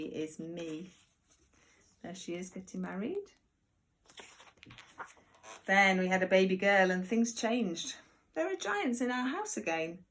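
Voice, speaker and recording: A woman reading a picture book aloud to young children like a primary teacher, expressive and deliberate, with chatty asides. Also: medium pitch. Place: at home.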